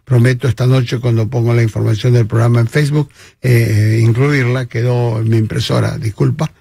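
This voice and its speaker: A man hosting a language community radio show, reading ads and introducing a guest in a friendly, halting way with many fillers. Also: mid-range voice, fairly even intonation, gravelly, mellow, slightly rough, no echo, studio quality. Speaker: radio host. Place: studio.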